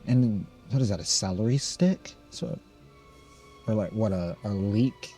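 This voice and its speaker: A man's voice: lowish voice, a little slow, mellow, soft background music. A man doing let's play commentary, reading game text aloud and adding casual remarks. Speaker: gameplay video host.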